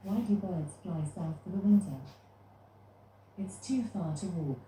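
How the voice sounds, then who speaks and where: A synthetic female voice assistant answering in an even, scripted delivery, heard from a speaker across the room. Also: fairly low pitch, a little slow, echoey room, distant mic, slight background noise, low-quality recording.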